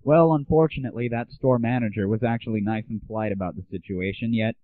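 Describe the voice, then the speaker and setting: A man's voice: medium pitch, fast, dry recording. A man hosting his own show, talking to listeners in a casual, chatty way. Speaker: radio show host. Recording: lo-fi dry recording.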